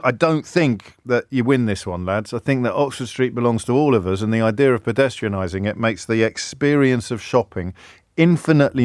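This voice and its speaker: A man's voice: mid-range voice, no echo. A man hosting a radio phone-in from a studio, talking in a dry, wry, sardonic way. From outside London. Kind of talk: talk radio monologue and call-in. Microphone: studio microphone.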